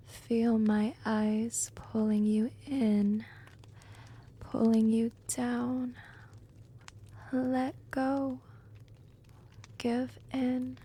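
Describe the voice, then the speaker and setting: A woman playing a seductive, commanding vampire in an ASMR roleplay, breathy and whispery, coaxing and teasing in a hypnotic manner. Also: fairly high pitch, mellow, heavily acted. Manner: slow, hypnotic, coaxing, dominant.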